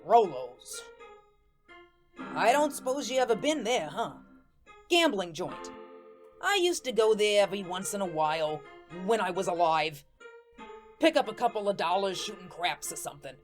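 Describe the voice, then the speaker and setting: A woman's voice: mid-range voice, big pitch swings, moderate pace, pauses often, faint music behind, natural voice. A woman playing a wry, good-natured ghost who narrates a radio play in slangy, streetwise, colloquial style. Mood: wry storytelling. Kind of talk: first-person radio play storytelling.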